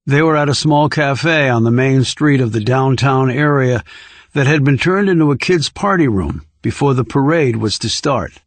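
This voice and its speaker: A man's voice: mid-range voice, animated, moderate pace, no echo. A man reading scripted thriller narration as an audiobook narrator.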